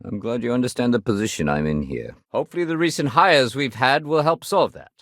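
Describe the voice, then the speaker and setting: An actor playing a dutiful, weary security sergeant in a video game, speaking in a measured, official yet conversational way. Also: male voice, mid-range voice, animated, fairly bright tone, dry recording, studio quality. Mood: grave, urgent, then casual, friendly. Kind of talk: video game dialogue acting.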